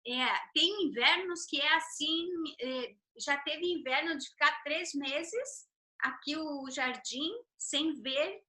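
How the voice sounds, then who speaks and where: A middle-aged Brazilian woman hosting a casual interview, telling lively stories and getting louder as she recounts them. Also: high-pitched, slow pace. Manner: lively storyteller, rising loud when recounting anecdotes. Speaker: host.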